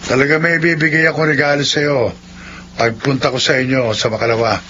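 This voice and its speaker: A man's voice: mid-range voice, level tone, a little fast, bright, forceful tone, lightly acted. A man with a Filipino accent playing a cocky, determined young suitor in a radio drama. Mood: coaxing. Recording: muffled lo-fi radio audio, clear noise.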